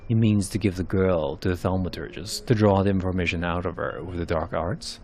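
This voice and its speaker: A man voicing a secretive, watchful bard from a fantasy game, with measured and formal archaic phrasing. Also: deep voice, steady tone, a little mellow, a little hiss or hum. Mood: grave, deliberate. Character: suave, secretive male bard, grave and watchful. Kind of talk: amateur narrated fantasy game cutscenes. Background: music.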